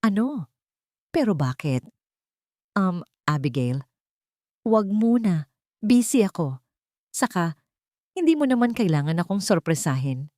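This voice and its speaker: A woman's voice: fairly low pitch, sing-song, measured pace, pauses often, warm tone, no room sound, studio quality. A woman voicing a deceitful young man in an audio drama, hesitant and placating as he makes nervous excuses. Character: evasive, deceitful young male fiancé, nervously surprised.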